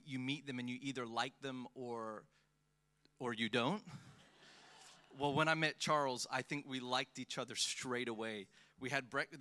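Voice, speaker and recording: Bright-voiced joking male preacher. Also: mid-range voice. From born in the Philippines, raised in Australia. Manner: self-deprecating, joking, many fillers and restarts. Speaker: pastor. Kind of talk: guest sermon, personal storytelling.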